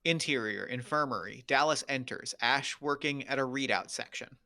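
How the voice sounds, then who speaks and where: A man's voice: mid-range voice, normal speed, pushed and bright. A man narrating, reading out screenplay stage directions in short, scripted phrases.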